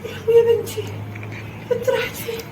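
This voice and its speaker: A young woman with an Egyptian Arabic accent playing a fussy stepmother in a home-made family skit, with high, rapid, exclamatory talk. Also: noisy background, natural voice. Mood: panicked. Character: fussy stepmother, doting then scolding. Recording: voice a little far from the mic.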